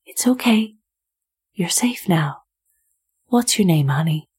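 A woman voicing a gentle, motherly police officer who speaks softly and soothingly in a story reading. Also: lowish voice, lively intonation, slow, breathy edge, exaggerated voice. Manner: soft, soothing, coaxing.

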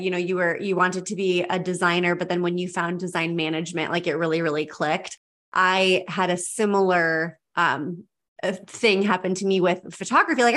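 A woman's voice: fairly low pitch, a bit bright. A woman hosting an interview podcast over a video call, enthusiastic and affirming. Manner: enthusiastic, affirming, repeats words. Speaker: podcast host. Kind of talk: casual interview chat.

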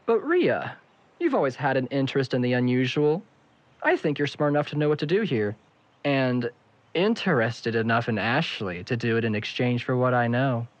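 A cocky young man played by a male actor in an audio drama, sardonic and evasive. His pitch moves in lively swings as he needles people with flippant remarks. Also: normal speed, dry recording, lightly acted. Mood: grimly flippant. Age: young adult. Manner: sarcastic, flippant.

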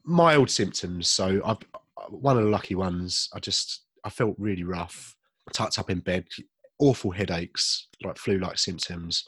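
A man's voice: low voice, unhurried, fairly bright tone, slightly rough, dry recording. A man from the UK, co-hosting a casual podcast chat, talking in a chatty, self-deprecating way and laughing often, a little chesty after being ill. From United Kingdom. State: slight cough, a bit chesty. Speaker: podcast co-host.

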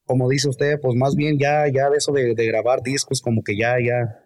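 Man from California, guest musician, hesitant, halting, soft-spoken, mid pitch, dry mic. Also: level tone, dry recording. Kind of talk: casual interview.

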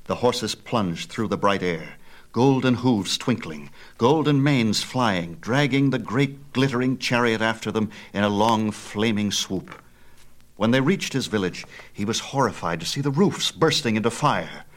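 Low-voiced man, dramatic storyteller. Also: expressive. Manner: theatrical, measured, emphatic delivery. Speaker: audiobook narrator. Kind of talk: dramatic storytelling narration of myth.

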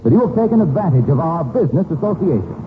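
Stuffy businessman, high animated voice, mock-solemn, formal, tinny 1940s radio comedy. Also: very fast, background noise. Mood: mock indignation. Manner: formal, melodramatic.

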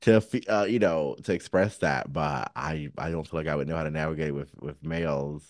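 A man with a very deep voice talks casually as a podcast co-host. He is frank and sassy, speaking openly about personal matters. The sound is dry, with hardly any room around it. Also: brisk, no room sound. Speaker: counselor. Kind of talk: casual chat, candid and personal.

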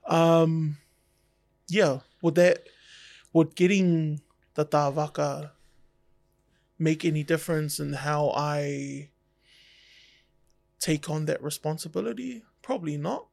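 A man's voice: a little high, slow pace, gravelly, long pauses, darkish tone, dry recording. A man, a tattoo artist whose first language is Tongan, talking in an easygoing, reflective way with jokey asides on a casual podcast.

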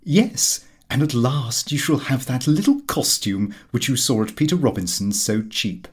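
A man's voice: medium pitch, animated, unhurried, slightly rough. A man voicing an earnest, polite, self-satisfied middle-aged clerk in a storybook reading, fussy and eager. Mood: pleased, genial. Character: earnest, deferential middle-aged male clerk, pleased with himself.

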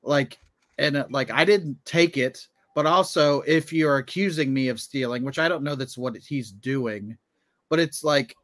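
A man in casual podcast chat as a co-host, circling his point in a halting way. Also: mid-range voice, fairly bright tone, dry recording.

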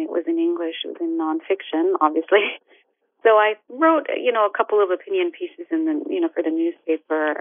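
A woman's voice: fairly low pitch, no echo. Thoughtful Moroccan-born woman on a phone line. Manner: thoughtful, measured explanation with fillers. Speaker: novelist. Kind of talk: interview.

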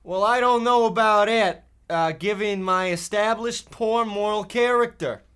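A man playing a bossy, shifty older brother, a Depression-era boy, halting and mock-folksy in a comic radio play. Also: high voice, a bit bright, clear, resonant tone. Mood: scheming. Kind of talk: amateur comic old-time radio acting.

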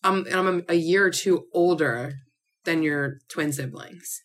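A woman's voice: low-pitched, measured pace. A woman, hosting a chatty podcast and laughing often. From Northeast Philadelphia. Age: 30s. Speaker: podcast host. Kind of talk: casual chat.

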